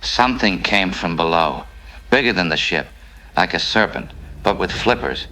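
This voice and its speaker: A man playing a bleak, shaken shipwreck survivor in an audio drama, speaking gravely in halting short phrases. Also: low-pitched, expressive, pushed and bright, slight background noise, rough recording. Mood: shaken, grim.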